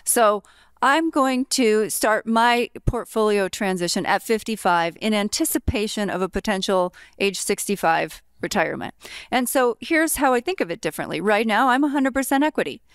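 A woman in her fifties, a retirement planning guest, explaining her approach in a measured, methodical way. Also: medium pitch, smooth, no echo, studio quality. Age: 50s. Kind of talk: explanatory interview talk. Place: hotel suite set with armchairs. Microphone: boom-arm podcast mic.

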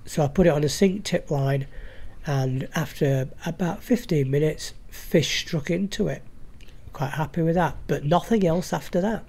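A man's voice: a little high, moderate pace, darkish tone. A man giving a chatty, matter-of-fact fishing recap outdoors by a lake, recorded on a head-mounted action camera. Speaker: fly fishing vlogger. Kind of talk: casual fishing commentary. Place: outdoors at a stillwater lake bank.

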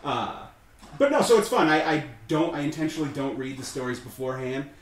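A man's voice: on the high side, animated, fairly quick, echoey room. A man being interviewed as a guest, with a nasal voice, who talks in a casual, self-deprecating way and often laughs and restarts his sentences. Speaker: guest, radio news reader and comic writer.

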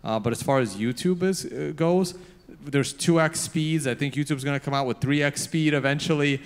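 A man's voice: fairly high pitch, smooth. A man speaking as a YouTuber on a conference panel, hesitant with many fillers, into a handheld mic on a large hall stage. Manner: halting, many fillers and restarts. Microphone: handheld microphone.

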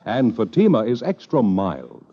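An American man reading a 1950s radio advertisement as a commercial announcer, with a husky voice and a polished sales delivery. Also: mid-range voice, wildly swooping pitch, measured pace. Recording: dull vintage radio recording.

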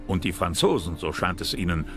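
A man's voice: low-pitched, sing-song, a little fast, over music. A man delivering scripted narration as the narrator of a history documentary.

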